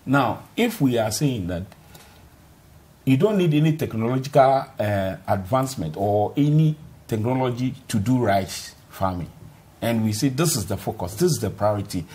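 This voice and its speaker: A man's voice: medium pitch, lively intonation, moderate pace, darkish tone. A man, an importer on a TV panel, who starts hesitantly and then argues with rising emphasis. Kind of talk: panel discussion.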